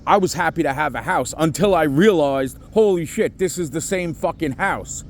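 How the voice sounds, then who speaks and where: A middle-aged man with a slightly high-pitched voice rambles in agitation over the phone. He swears heavily as he vents, with faint vehicle noise behind him. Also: moderate pace. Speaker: caller reporting to police. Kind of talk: venting on a phone call. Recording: noisy phone call, traffic sounds behind.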